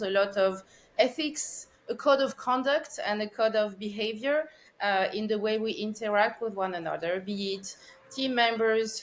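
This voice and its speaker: French woman in her forties, podcast guest, measured and reflective, mid-pitched voice. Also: low-quality recording. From France. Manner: thoughtful, measured, with frequent fillers. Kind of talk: podcast interview.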